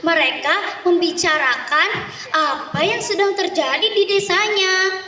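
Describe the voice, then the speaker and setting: A woman narrating a folk tale theatrically as a contestant, deliberate and with dramatic pauses. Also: extremely high pitch, pushed and bright. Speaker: storytelling contestant. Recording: echoey hall, distant microphone.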